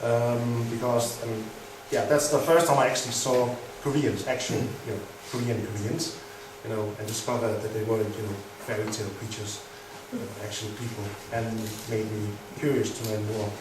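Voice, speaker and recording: A young Danish man, a native Danish speaker, answering audience questions in English with many pauses and restarts. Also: lowish voice, level tone. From Denmark. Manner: hesitant, many fillers and restarts. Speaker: guest speaker. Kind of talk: live Q&A answers. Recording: echoey hall, mic far off, noisy.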